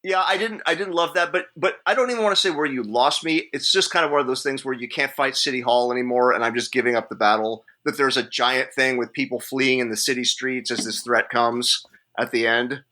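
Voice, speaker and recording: A man on a podcast panel talking casually through his measured opinions in a rambling, hedging way. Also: medium pitch, fairly even intonation, bright, forceful tone. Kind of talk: casual movie discussion.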